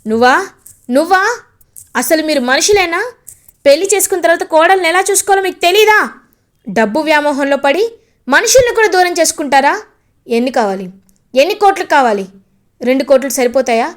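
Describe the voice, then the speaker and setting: A woman voicing an indignant young man who scolds loudly, retelling a family drama aloud. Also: high-pitched, expressive, normal speed, long pauses. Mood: furious. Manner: raised, scolding delivery. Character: indignant young man, protective older brother.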